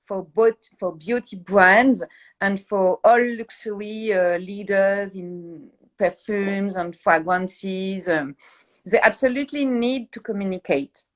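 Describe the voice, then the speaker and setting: A woman's voice: medium pitch, slow. A middle-aged woman from France with a French accent, a guest explaining her fashion PR work in a live interview, searching for her words in English.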